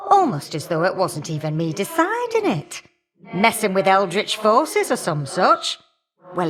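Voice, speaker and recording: An actress voicing a warm, feisty old great-aunt fire goddess for a video game, with folksy, teasing, old-fashioned talk. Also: female voice, a little high. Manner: folksy, teasing, old-fashioned turns of phrase, calling listeners dearie. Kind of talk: video game character acting.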